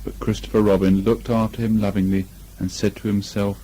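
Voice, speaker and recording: A man with a slightly husky voice reading a children's storybook aloud with expression. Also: on the low side, little pitch movement, a little fast, mellow, a little hiss or hum. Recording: muffled amateur 1980 home recording.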